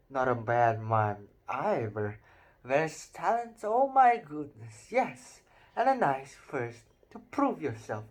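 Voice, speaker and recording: A man voicing an old magic sorting hat that murmurs and coaxes in a low, sly way. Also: full character voice.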